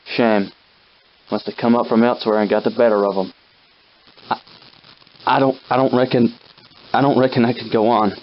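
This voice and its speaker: Guy doing a folksy old timey soldier sidekick for a video game, mid pitched, plucky but rattled, kinda muffled lofi audio. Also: lightly acted. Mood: wary, steady, then despairing. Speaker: game character companion. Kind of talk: scripted video game character acting, old-time dialect.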